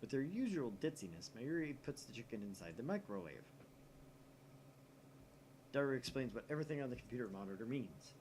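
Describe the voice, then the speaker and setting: A man's voice: mid-range voice, very animated, brisk, pauses often, a little mellow. A man reading visual novel narration aloud expressively as he plays, with some background noise. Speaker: video game player reading aloud.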